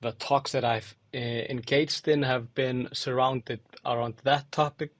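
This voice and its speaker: Slow, halting man in an interview. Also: mid-range voice, fairly even intonation, dry recording. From Iceland and Angola. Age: young adult. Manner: hesitant, many fillers and restarts. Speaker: podcast guest, musician and designer. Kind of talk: reflective interview answers.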